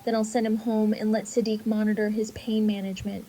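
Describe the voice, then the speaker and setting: Woman playing a mellow, earnest young medic. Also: mid-range voice, level tone, brisk, close to an everyday voice, rough recording. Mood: earnest, concerned. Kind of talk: fan audio drama acting.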